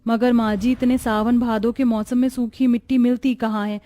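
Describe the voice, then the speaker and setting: A woman's voice: fairly high pitch, level tone, normal speed, no room sound, lightly acted. A woman voicing a humble, dutiful poor young daughter-in-law in a cartoon moral story, polite, earnest and patient. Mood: worried. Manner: polite, earnest, soft-hearted.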